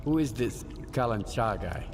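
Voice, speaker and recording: A man's voice: lowish voice, big pitch swings, normal speed, noisy background. An actor playing a hard, distrustful male wanderer in a video game, speaking in blunt, terse questions and orders. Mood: probing. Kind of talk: video game cutscene acting.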